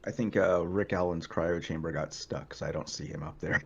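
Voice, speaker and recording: A man's voice: low voice, steady tone, moderate pace, vocal fry, darkish tone, breathy edge, dull audio. A man chatting casually during a tabletop roleplaying game.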